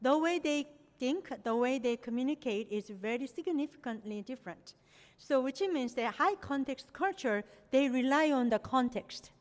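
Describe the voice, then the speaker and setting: A woman's voice: sits high, expressive, moderate pace. A middle-aged woman from Korea, a native Korean speaker, giving an academic presentation with non-native phrasing and frequent "you know" fillers. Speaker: lecturer. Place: lecture hall. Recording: long hall reverb.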